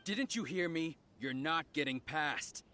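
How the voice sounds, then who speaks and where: Stern, forceful game guard. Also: male voice, a little high, lively intonation, unhurried, slight background noise. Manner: curt, commanding. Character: stern, obstructive male guard on official business. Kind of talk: video game voice acting.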